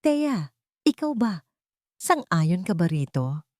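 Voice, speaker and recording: Woman acting stern, scolding old mother-in-law, animated pitch. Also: slow, no room sound, clean studio sound. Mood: hurt, reproachful. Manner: sharp rhetorical questioning.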